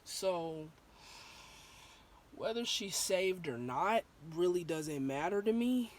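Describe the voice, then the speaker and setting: A young woman vlogs her thoughts in a loose, candid way, often pausing on fillers and restarting her sentences. Her voice is a touch hoarse from a sore throat. A faint background hiss sits under the recording. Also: lowish voice, lively intonation, darkish tone. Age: young adult. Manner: candid and rambling, with many ums and restarts. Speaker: vlogger. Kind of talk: casual opinion vlog.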